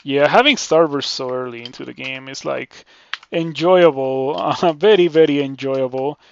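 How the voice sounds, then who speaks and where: Game streamer, male, Mexican Spanish accent, raspy low-fi sound, thinking-aloud delivery. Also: highs cut off, a little hiss or hum. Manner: measured, filler-heavy thinking aloud. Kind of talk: live gameplay commentary.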